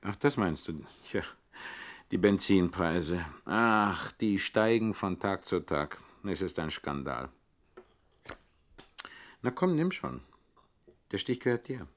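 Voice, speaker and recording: A man's voice: sits low, big pitch swings, slow pace, pauses often, full character voice. A cocky gangster in a 1960s radio comedy. His delivery swoops widely, full of bossy, dismissive banter and theatrical flair. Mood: nonchalant. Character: cocky, dismissive male pickpocket turned gangster boss. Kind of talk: 1960s German radio comedy crime acting.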